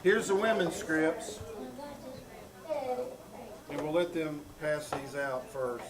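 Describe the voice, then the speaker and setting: A somewhat high-voiced man emcees a live theater show in an exasperated, stammering way, with a loud audience laughing behind him. He is recorded live on stage. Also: lively intonation, slow pace, a crowd in the background.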